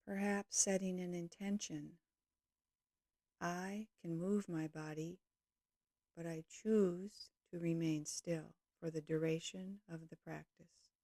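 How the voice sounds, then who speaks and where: A woman leading a guided yoga nidra meditation, speaking calmly and gently in slow, measured short phrases. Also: fairly low pitch, dry recording.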